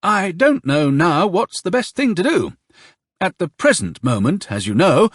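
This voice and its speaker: A man's voice: sits high, sing-song, measured pace, fairly bright tone, no echo, studio quality, voice pushed up, heavily acted. A man voicing a suave, insincere army colonel in an audiobook, with honeyed, emphatic politeness. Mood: courteous, emphatic.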